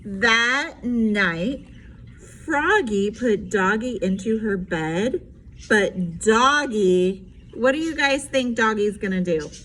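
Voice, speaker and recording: A woman's voice: medium pitch, animated, takes it slowly, a bit bright. A woman teacher reading a picture book aloud to children, animatedly, stopping to explain things simply. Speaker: special education teacher. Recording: faint background noise.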